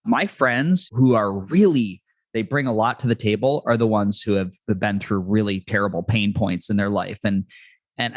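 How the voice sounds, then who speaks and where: A man's voice: fairly low pitch, no echo. A man hosting a podcast interview, talking in an earnest, reflective way in long run-on thoughts. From New York City. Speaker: podcast host. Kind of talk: casual interview chat.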